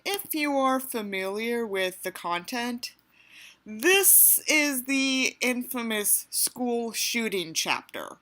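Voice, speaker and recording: A woman's voice: on the high side, animated, slow, bright, forceful tone. A woman reading a book aloud as its narrator and commentator, theatrical and sardonic, with a sarcastic, sweary streak. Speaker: audiobook reader and commentator.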